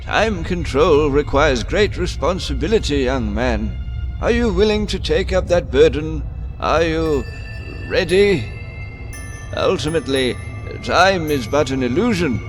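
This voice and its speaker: An eccentric old sage of time, theatrical and grandly philosophical. The voice sits fairly high and swings in pitch, over faint music. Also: male voice, animated. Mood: grand, whimsical. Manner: theatrical, slow and portentous.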